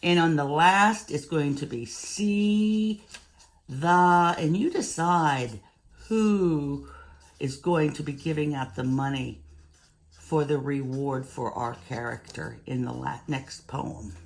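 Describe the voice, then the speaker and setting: A woman in her fifties with a low voice, speaking as an elementary teacher. She goes slowly and deliberately, laying out each step for young children. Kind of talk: instructional talk to young children. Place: room at home.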